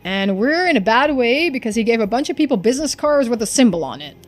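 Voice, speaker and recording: Female reader as young male game protagonist: earnest, anxious, hesitant, conversational, over soft background music. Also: on the high side, very animated, quick pace. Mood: urgent. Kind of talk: video game dialogue read-aloud.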